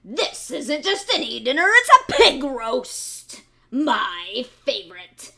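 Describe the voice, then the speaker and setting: A greedy, gleeful fox from a picture book who gloats loudly and stretches his words out slowly, as a woman reading aloud puts him on. Loud and lively. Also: high voice, gravelly, voice pushed up, full character voice. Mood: gloating. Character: hungry, gleeful male fox.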